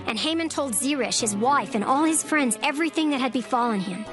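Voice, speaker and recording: Woman reading scripture aloud, steady and solemn, slightly high voice, music right there behind her. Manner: measured, solemn storytelling. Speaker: narrator. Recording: narration over clear background music. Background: background music.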